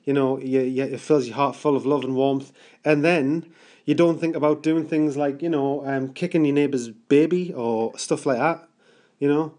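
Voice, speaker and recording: A man's voice: fairly high pitch, fairly even intonation, normal speed, a little mellow. Hesitant, stammering British man on a prank call. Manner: hesitant, stammering, rambling with mock-earnest seriousness. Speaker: prank caller. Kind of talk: improvised prank phone call.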